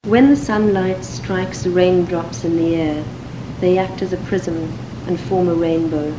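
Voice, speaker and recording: A woman with a Cape Town South African accent, reading a set passage and then talking in a measured, thoughtful way with the odd um. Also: on the low side. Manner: measured and thoughtful, with occasional ums. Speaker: dialect archive speaker. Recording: echoey room with steady background hiss.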